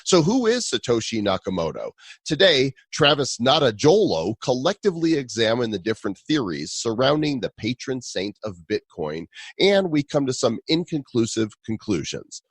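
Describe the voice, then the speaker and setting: A man's voice: mid-range voice, sing-song, normal speed, breathy edge, no echo, studio quality. A man with a Chicago accent co-hosting a podcast, reading ads and chatting in a hammy, playful way. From Illinois. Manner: playful, hammy, swaps air and ear sounds. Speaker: podcast co-host. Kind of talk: casual podcast chat and ad reads.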